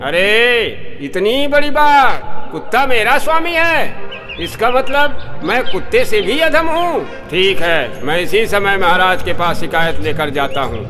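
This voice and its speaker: A man's voice: high voice, very animated, takes it slowly, heavily acted. A man voicing a self-righteous, nagging temple priest in a children's cartoon, with a scolding, sarcastic, pompous manner. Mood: outraged. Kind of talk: Hindi children's cartoon dubbing. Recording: music behind the voice.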